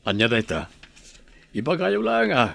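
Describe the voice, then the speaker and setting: A man's voice: medium pitch, wildly swooping pitch, unhurried, vocal fry, fairly bright tone, full character voice. A man playing a kindly, earnest family man in an Ilocano radio drama, with an Ilocano accent. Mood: welcoming. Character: kindly family man, devoted son and father.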